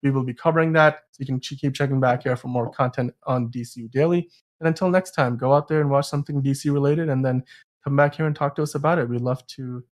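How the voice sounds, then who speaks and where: A man's voice: medium pitch, steady tone, fast. A man hosting a podcast in a casual, rambling, chatty way, talking through entertainment news. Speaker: host of a film news podcast.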